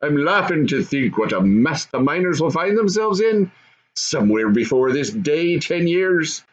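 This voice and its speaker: A man's voice: a little high, sing-song, a little slow, muffled recording. A man voicing a gloating, bossy goblin father and husband, emphatic and knowing, in a storybook reading.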